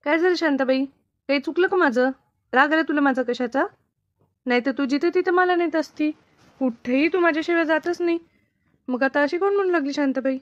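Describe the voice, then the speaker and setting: Woman playing a high-voiced gentle village friend, muffled. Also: brisk, no echo, natural voice. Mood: concerned, reassuring. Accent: rural Maharashtrian Marathi. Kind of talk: cartoon comedy dialogue. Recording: dull lo-fi, dry close sound.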